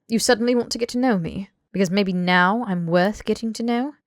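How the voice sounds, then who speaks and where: A woman's voice: medium pitch, brisk, no echo, lightly acted. A woman acting out a proud young British man, petulant, defensive, challenging, audiobook dialogue, dry close sound. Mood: indignant, challenging. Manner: challenging, indignant.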